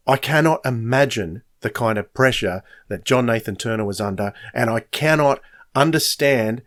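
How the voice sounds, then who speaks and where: A man hosting a podcast, giving an opinionated monologue in an earnest, emphatic way, stressing points by repetition. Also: medium pitch. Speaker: podcast host.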